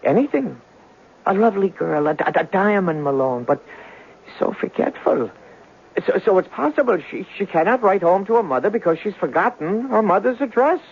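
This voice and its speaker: Hissy radio play: exasperated young Irish immigrant, earnest, melodramatic, creaky, very animated pitch. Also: male voice, moderate pace, heavily acted. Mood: anxious, lovesick. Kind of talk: 1970s radio drama acting. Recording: muffled, hissy old radio recording.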